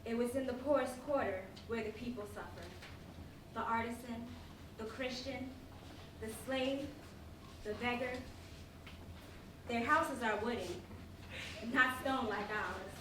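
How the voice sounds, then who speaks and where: Woman acting a despairing compassionate roman onlooker, high forceful voice, slow theatrical monologue, roomy hall. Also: close to an everyday voice. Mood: grieving. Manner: drawn-out, emotional, theatrical delivery. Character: anguished, compassionate Roman witness despairing of injustice. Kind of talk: dramatic monologue in staged reading. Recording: echoey hall, distant mic, faint noise.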